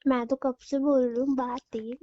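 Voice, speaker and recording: A woman's voice: sits high, little pitch movement, moderate pace, mellow. A young woman bantering playfully with her boyfriend in a casual phone call. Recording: dull lo-fi call recording.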